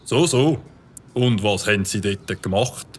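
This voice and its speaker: A man's voice: fairly low pitch, unhurried, husky. A man doing an officious Swiss village cop. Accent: Swiss German. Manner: officious, commanding. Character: stern, officious male village policeman. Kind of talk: children's audio drama acting.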